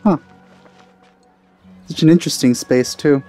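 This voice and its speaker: A man's voice: fairly high pitch, unhurried, mellow, soft background music. A man giving casual gameplay commentary, musing aloud and trailing off as he thinks. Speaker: gaming video maker.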